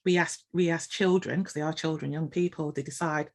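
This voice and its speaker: A woman's voice: low-pitched, steady tone, fairly quick. An older woman, a nurse hosting a podcast, asking questions in a thoughtful, hesitant way, thinking aloud as she goes. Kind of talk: conversational podcast interview.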